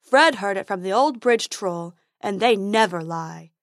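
A woman voicing a silly, stubborn male unicorn who speaks slowly and emphatically. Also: mid-range voice, expressive, no room sound, studio quality. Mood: eager. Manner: slow, emphatic, talks about himself by name.

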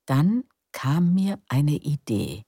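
A woman narrating a calm bedtime story in a slow, soft, soothing way. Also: low voice, slightly rough, no room sound, studio quality.

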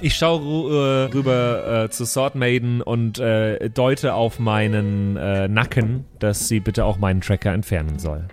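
A man's voice: fairly low pitch, unhurried, few pauses, soft background music. A man improvising in a role-playing game in a playful, theatrical manner. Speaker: player in a tabletop role-playing podcast. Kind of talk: improvised role-play talk.

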